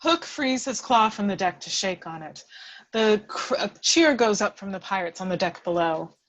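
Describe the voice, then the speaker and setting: A woman's voice: mid-range voice, lively intonation, moderate pace. A woman reading scripted screenplay action as the narrator of a table read. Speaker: stage-direction reader.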